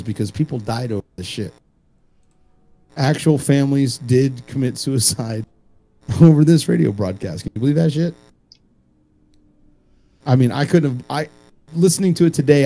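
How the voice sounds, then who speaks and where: A man co-hosting a casual show, joking and swearing freely in an animated, streetwise way. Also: medium pitch, creaky, low-quality recording. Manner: animated, profane, streetwise ribbing. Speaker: co-host.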